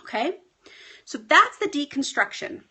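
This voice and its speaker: A woman life coach talking casually on a home live stream, a bit hoarse and often checking in with her viewers. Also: high-pitched, very animated, measured pace, bright, forceful tone. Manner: chatty, often asks "right?". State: hoarse, clearing her throat. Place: at home with pets.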